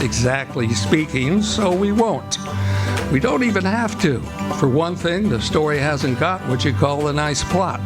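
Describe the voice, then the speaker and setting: A man announces and narrates in the style of old-time radio theatre. His voice sits a little high and his delivery is measured and presentational. Prominent music plays behind him on a live stage. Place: live stage before an audience.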